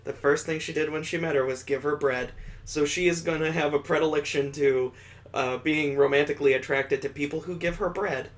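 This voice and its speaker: A man's voice: on the high side, fairly even intonation, brisk. A man hosting a casual read-aloud of a visual novel, rambling off into wry asides as he goes. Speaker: gaming host.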